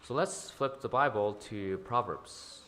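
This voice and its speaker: A man's voice: mid-range voice, a little slow, a bit bright, a little hiss or hum. A man interpreting a sermon into English, hesitant, with frequent ums and uhs. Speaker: church interpreter.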